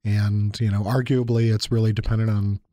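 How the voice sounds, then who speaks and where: A man's voice: fairly low pitch, level tone, normal speed, mellow, no room sound, clean studio sound. A man co-hosting a casual chat, talking in a reflective, thoughtful way. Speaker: university lecturer and podcast co-host.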